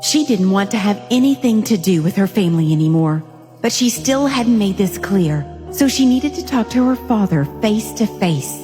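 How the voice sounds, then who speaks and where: A woman narrates an audio drama in a mellow, mid-pitched voice. The recording has a long reverb and music playing behind her. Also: animated, normal speed. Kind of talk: scripted dramatic story narration. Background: background music.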